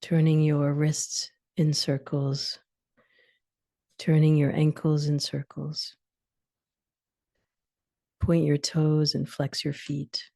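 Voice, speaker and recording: A woman leading a guided sleep meditation, giving soothing instructions in short phrases with long pauses and soft, breathy endings. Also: low voice, completely flat delivery, no echo, clean studio sound.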